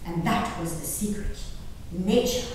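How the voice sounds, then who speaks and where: A woman playing a genteel, dramatic English gentlewoman diarist in a declamatory stage reading, stretching out her emphatic phrases. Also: on the low side, a little slow, natural voice. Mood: awed, soothed. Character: genteel, dramatic English gentlewoman diarist, easily appalled, enraptured by wild nature. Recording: echoey hall from far off, faint noise.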